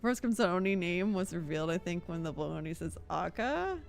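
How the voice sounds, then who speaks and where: A woman's voice: lowish voice, expressive, brisk, creaky. A playful, giggly woman giving casual commentary as she streams a video game playthrough. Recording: game music behind the voice.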